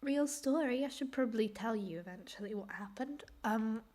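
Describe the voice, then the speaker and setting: A woman reacting casually to clips in a rambling, self-deprecating way, her voice hoarse and giving out from a cold. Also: on the high side, expressive, normal speed, darkish tone. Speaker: host reacting to cartoon clips.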